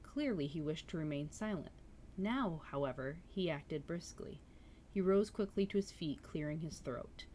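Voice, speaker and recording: A woman livestreamer reading a novel aloud and chatting casually, with expressive storybook narration. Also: sits low, normal speed, a little hiss or hum.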